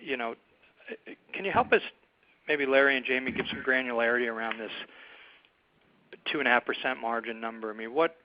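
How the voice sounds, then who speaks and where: A man's voice: medium pitch, brisk, pauses often, slight background noise. A man calling in as a financial analyst, asking questions in a blunt, casual way. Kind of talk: informal questioning on a business call. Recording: slightly dull phone connection.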